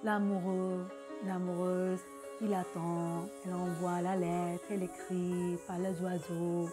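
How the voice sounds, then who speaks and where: A woman's voice: on the low side, little pitch movement, slow pace. Pakistani-accented woman over music. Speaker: dance teacher and dancer. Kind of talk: personal interview, conversational storytelling. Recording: music playing under the voice.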